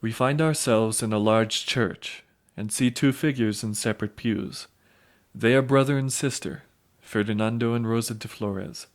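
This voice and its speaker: A man's voice: lowish voice, normal speed, natural voice. A man playing a courteous priest and narrating an audio drama. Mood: courteous, measured.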